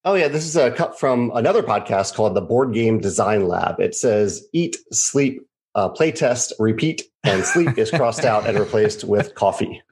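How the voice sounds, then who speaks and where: A man's voice: lowish voice, lively intonation, moderate pace, a little mellow. An American man being interviewed over a video call, easygoing and laughing often. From United States. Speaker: guest, game designer. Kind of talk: casual interview chat.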